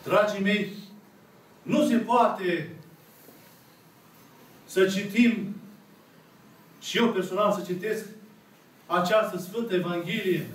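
High-voiced priest preaching in an echoey church. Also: male voice. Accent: Romanian. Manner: slow, emphatic, dramatic pauses, voices the characters' words. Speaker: Orthodox priest. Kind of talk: sermon retelling a parable. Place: small church full of icons, congregation seated. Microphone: camera at the back of the room. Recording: echoey church room, picked up from far off.

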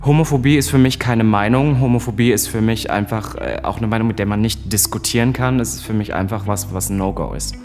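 A man's voice: on the low side, fairly quick, few pauses, darkish tone, faint music behind. A man in his mid-twenties speaking candidly and emphatically in a personal conversation. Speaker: podcaster and host.

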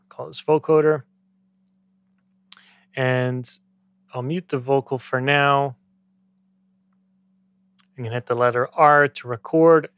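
A man in his thirties giving a conversational, step-by-step software tutorial as an instructor, close to the microphone. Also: mid-range voice, no room sound. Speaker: music production tutorial instructor.

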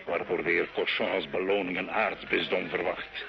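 An actor playing a bitter, hard-drinking friar in an old radio drama, gruff and guarded. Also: male voice, deep voice, steady tone, moderate pace. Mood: bitter, confiding. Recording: dull old radio recording.

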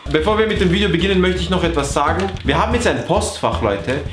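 A man's voice: a little high, expressive, fast talker, a crowd in the background. A young man hosts a casual vlog. He banters playfully with the others and talks straight to camera in an echoey bedroom. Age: 20s. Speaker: host of a sibling vlog. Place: small bedroom, indoors. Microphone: camera mic at short distance.

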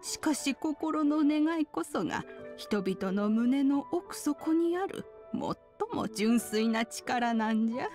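Wise elderly woman, game dialogue, slow, slightly high-pitched, archaic, kindly. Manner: archaic elderly speech, unhurried sage delivery. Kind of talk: Japanese game voice acting.

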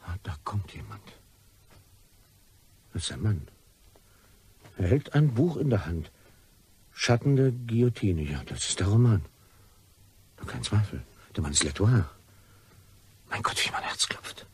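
A jittery, apologetic man in a crime radio drama, played by an actor. His voice is low-pitched and heavily breathy. He speaks as if anxiously thinking aloud. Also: big pitch swings, unhurried, long pauses, exaggerated voice. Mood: anxious, tense. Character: nervous, apologetic man waiting to hand over money. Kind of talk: German crime radio play acting.

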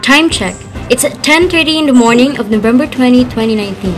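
A woman's voice: on the high side, animated, fast talker. A woman reading a scripted radio newscast as anchor. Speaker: news anchor. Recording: music bed behind voice.

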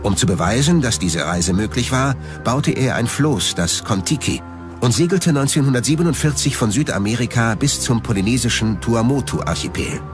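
Man, documentary narrator, scripted reading, medium pitch, expressive intonation, music behind. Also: normal speed.

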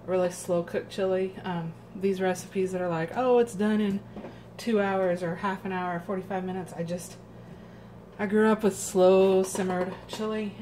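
A woman giving a casual home cooking demonstration, thinking aloud hesitantly as she works. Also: fairly low pitch. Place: home kitchen, indoors. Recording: noisy home kitchen recording.

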